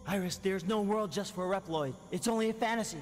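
A man's voice: sits high, a little fast, natural voice. A man voicing an intense, brooding young android warrior in a game cutscene, dramatic and strained. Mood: sorrowful. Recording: reverberant, noisy cutscene audio.

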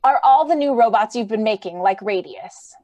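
Idealistic, worried lady, female actor, higher register, earnest theatrical radio acting. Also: lively intonation, moderate pace, warm tone, highs cut off, close to an everyday voice. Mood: concerned. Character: idealistic, anxious, gentle lady who loves humanity. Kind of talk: old-time radio drama acting. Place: remote video call.

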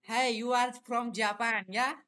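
A young man with an Indian accent chats casually on a phone video call, asking questions haltingly in simple, repetitive English. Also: very high-pitched, fairly even intonation, sharp tone. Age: young adult. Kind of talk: casual chat with strangers.

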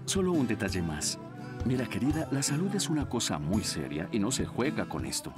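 A man's voice: fairly low pitch, sing-song, brisk. A man voicing an affectionate middle-aged father in a cartoon, earnest, theatrical and caring. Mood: gentle concern. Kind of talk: animated cartoon dub. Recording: loud music behind the voice.

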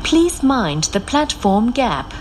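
A woman making scripted train announcements in a formal, clearly enunciated, measured manner. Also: on the high side, big pitch swings, a little hiss or hum. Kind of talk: scripted recorded transit announcements. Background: loud moving train ambience.

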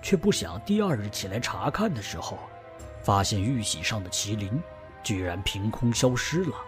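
A man as a low-voiced, grave middle-aged uncle. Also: very animated, normal speed. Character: worried middle-aged uncle, head of family. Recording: music bed behind.

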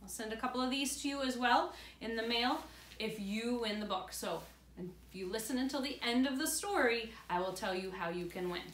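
A woman in her thirties reads a storybook aloud to children as a teacher, pausing to explain things in simple words. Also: on the high side, a bit bright. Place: indoor room beside a large glass door. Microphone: camera a few feet away. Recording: roomy, distant camera mic with faint noise.

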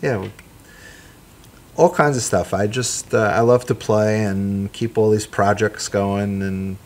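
A middle-aged man with a gruff voice, a musician guest chatting easily on a small studio set, with plenty of ums and uhs. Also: fairly low pitch, fairly even intonation. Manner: easygoing, halting with fillers. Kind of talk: casual chat.

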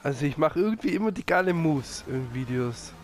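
A man's voice: a little high, brisk. Young man with a cold, racing chat. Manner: excitable, yells at mishaps. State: has a cold. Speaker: gaming channel host. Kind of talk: casual let's-play chat.

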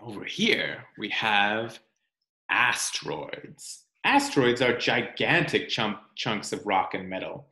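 A man in his thirties reading a children's picture book aloud in a deliberate, explanatory way, with dramatic emphasis on key words. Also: fairly low pitch, big pitch swings, bright, forceful tone. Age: 30s. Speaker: read-aloud presenter. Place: small room at home, indoors. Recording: roomy home recording.

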